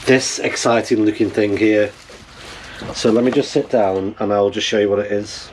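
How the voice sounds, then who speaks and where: A middle-aged man chatting casually as he tinkers, halting and thinking aloud, recorded indoors on a camera mic. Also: fairly low pitch, fairly even intonation, noisy background. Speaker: amateur radio vlogger. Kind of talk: casual vlog chat while tinkering and unboxing. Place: small wooden cabin, indoors.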